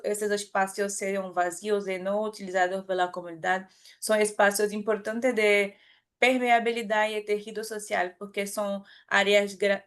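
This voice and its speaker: Woman with a Brazilian Portuguese accent, halting. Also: medium pitch, fairly bright tone. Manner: careful, halting, with restarts and self-corrections. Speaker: academic presenter. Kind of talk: conference talk.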